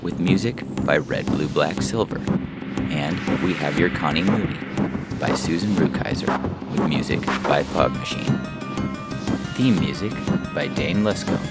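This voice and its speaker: Podcast announcer: male, low-pitched, scripted host read, heavy music bed. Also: a little slow, gravelly. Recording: prominent music bed under the voice.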